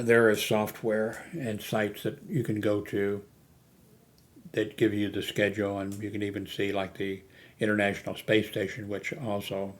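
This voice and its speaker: Halting man with low, even-toned voice. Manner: rambling, halting, often losing his thread. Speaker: amateur radio hobbyist hosting a video channel. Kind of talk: casual unscripted vlog talk.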